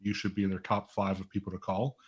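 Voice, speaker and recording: Low-voiced man explaining things conversationally. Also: flat delivery, quick pace, husky. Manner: explanatory, uses acted-out client dialogue and rhetorical check-ins. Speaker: financial advisor and show panelist. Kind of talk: conversational live panel talk.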